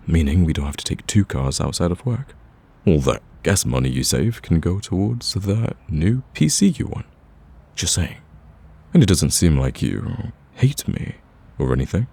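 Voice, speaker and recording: A man playing a smug, flirtatious villain in an ASMR roleplay, teasing the listener in a casual, drawling, gravelly voice. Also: a little mellow, heavily acted. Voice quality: deep, gravelly.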